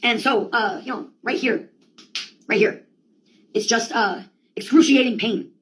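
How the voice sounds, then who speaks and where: A woman's voice: fairly high pitch, measured pace, slight background noise. A woman telling a rambling comic monologue in a theatrical, slangy, sweary way. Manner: sweary, theatrical, slangy delivery with quoted outbursts.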